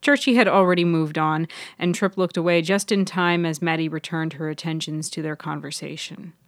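Woman narrating a fiction podcast, lowish voice, very dry close recording. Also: steady tone, moderate pace, dry recording. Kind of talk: scripted story narration.

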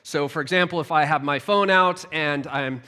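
Bright-voiced pastor preaching a sermon. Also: male voice, fairly high pitch, moderate pace, clear, resonant tone. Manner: relaxed, humorous storytelling with many fillers. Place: church service.

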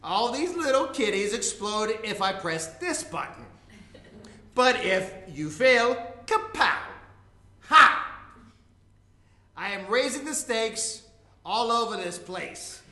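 Man acting a very high-pitched, bombastic villain. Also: very animated, slow pace, pauses often, bright, forceful tone, heavily acted. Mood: gleeful, menacing. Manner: bombastic, petulant, gleefully menacing. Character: theatrical lonely male evil-genius spy villain, needy and taunting. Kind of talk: live staged reading of comic play. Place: live performance in a room. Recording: roomy live recording with background noise.

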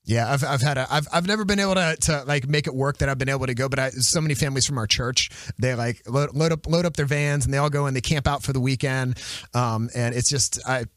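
A man's voice: mid-range voice, quick pace, few pauses, fairly bright tone, dry recording. Eager stammering male host. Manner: enthusiastic, stammering restarts. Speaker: podcast host. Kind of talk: casual interview conversation.

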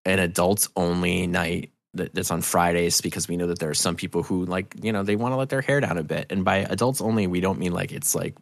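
Deep, gravelly man chatting candidly. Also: fairly quick, hardly any pauses, dry recording. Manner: earnest, rambling, hesitant restarts, freely swearing. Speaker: podcast guest, audio drama creator. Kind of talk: casual, candid podcast conversation.

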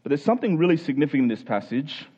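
Guy preaching a sermon, voice a bit on the high side, earnest n chatty, asks lots of questions, kinda lo-fi sound. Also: sing-song, racing, mellow, breathy edge. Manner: earnest, rhetorical questions, acts out imagined objectors. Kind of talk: sermon, conversational Bible teaching. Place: speaking live to a congregation.

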